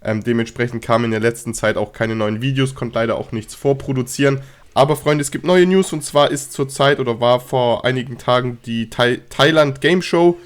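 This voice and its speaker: A young man with a cold and a slightly hoarse voice, chatting casually about game news straight to his viewers. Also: mid-range voice, a little fast. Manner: chatty, filler-heavy, addresses viewers directly. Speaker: gaming news youtuber.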